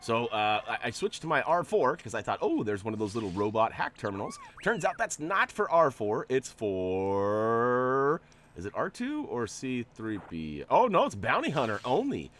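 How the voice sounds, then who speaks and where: A man's voice: medium pitch, wildly swooping pitch, normal speed, fairly bright tone, slight background noise. A man with a nasal, stuffy voice from allergies, giving chatty, joking gameplay commentary as a channel host. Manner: chatty, joking, rambling asides.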